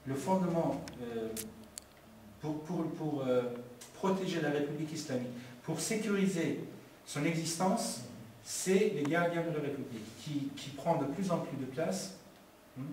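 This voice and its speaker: A man from Iran whose first language is Persian, speaking at a public film debate, halting and full of restarts and fillers. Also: a little high, animated, a little mellow. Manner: hesitant, many restarts and fillers. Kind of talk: halting public talk, answering questions. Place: community meeting hall. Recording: very echoey hall, far from mic, faint noise.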